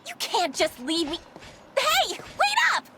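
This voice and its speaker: A woman's voice: very high-pitched, huge pitch swings, slow, heavy background noise, full character voice. A woman voicing a bratty, vain young girl villain in a video game, whiny and petulant. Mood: pleading. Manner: whiny, petulant, swings between boasting and wailing. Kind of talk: video game cutscene voice acting.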